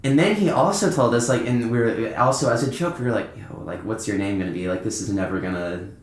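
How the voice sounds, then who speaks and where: A man's voice: mid-range voice, fast. A young man casually telling anecdotes as a podcast guest, in an informal, rambling way. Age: young adult. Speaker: podcast guest, band musician. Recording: echoey, roomy podcast recording.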